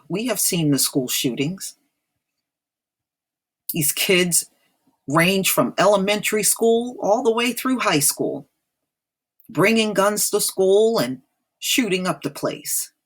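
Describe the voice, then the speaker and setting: A woman's voice: medium pitch, lively intonation, a little slow, pauses often, breathy edge. An American woman giving informal opinion commentary in a blunt, disapproving, emphatic manner, repeating phrases for effect. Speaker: online commentator.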